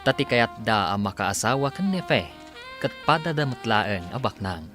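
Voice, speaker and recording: A man's voice: mid-range voice, expressive, normal speed, over music. A man with an Ilocano accent narrating a radio drama as its announcer, in a dramatic scripted style.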